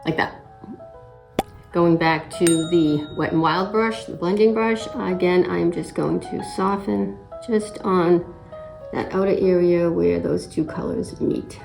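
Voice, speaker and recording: A middle-aged woman with a slight cold and a little raspy voice, talking through a makeup tutorial step by step in a relaxed, casual way and clearing her throat now and then. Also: lowish voice, slow, soft background music, poor audio. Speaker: makeup vlogger.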